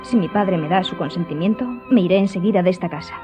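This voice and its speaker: A woman's voice: on the low side, fast, lightly acted. Actress as a determined young lady, music behind. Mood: hopeful, resolute. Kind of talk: radio drama acting. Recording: slightly dull, music behind.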